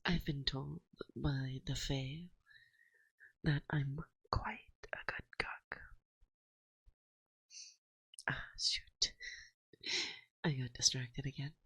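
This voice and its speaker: Breathy, slow-talking forest witch, acted by a woman. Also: rumbling low, fairly even intonation, dry recording, heavily acted, rough recording. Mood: doting, chatty. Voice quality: soft, very breathy, often slipping into whisper. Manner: slow, teasing, coaxing, with musing pauses. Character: playful, dominant forest witch, teasing and doting. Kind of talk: ASMR roleplay, soft speech with whispering. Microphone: close binaural microphone.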